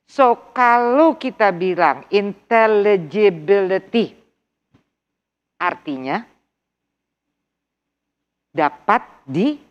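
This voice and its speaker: A woman's voice: mid-range voice, lively intonation, takes it slowly, pauses often, lo-fi sound. A middle-aged woman with an Indonesian accent teaching an online TOEFL workshop, switching between English and Indonesian, quizzing her class and repeating key words. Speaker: TOEFL instructor.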